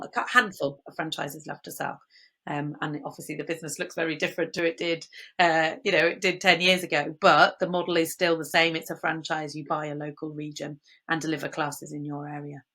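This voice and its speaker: A middle-aged woman from Bristol with a slight West Country accent, telling her story as a guest on a business podcast and filling her talk with "um" and "uh". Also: low-pitched. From Bristol, England. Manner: lively storytelling with many fillers. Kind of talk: podcast interview.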